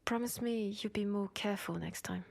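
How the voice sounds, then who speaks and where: A woman with a French accent playing an ambitious, protective cyberpunk cop in an intimate sci-fi audio roleplay, with soft, breathy delivery. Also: mid-range voice, brisk. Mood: protective, earnest. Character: ambitious, protective female cyberpunk cop, senior partner to a rookie. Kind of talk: intimate sci-fi roleplay acting, one side of a dialogue.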